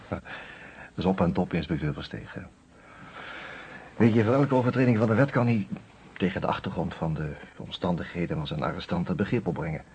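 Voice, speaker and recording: An actor playing an expressive, reflective storyteller who narrates a detective radio play in a dramatic scripted style. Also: male voice, low voice, moderate pace, long pauses, slight background noise. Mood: admiring, reflective. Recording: dull old radio recording.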